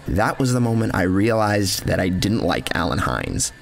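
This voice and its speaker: A man with a low-pitched voice narrates first-person horror fiction. His delivery is measured and dramatic, with a cynical edge to the storytelling. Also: very animated, vocal fry.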